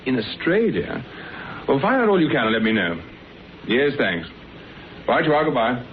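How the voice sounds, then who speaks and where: An actor playing a calm, commanding, dryly sardonic private detective and boss in a classic radio drama. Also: male voice, medium pitch, normal speed, natural voice. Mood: brisk. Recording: noisy, narrow old radio recording.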